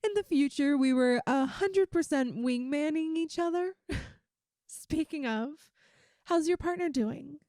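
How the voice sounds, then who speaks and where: A woman's voice: high-pitched, slow, darkish tone, dry recording, clean studio sound. A woman voicing a playful, affectionate young lamia best friend in an intimate, chatty ASMR roleplay. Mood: teasing, casual. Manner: teasing, chatty, giggly. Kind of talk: ASMR roleplay, one-sided intimate conversation.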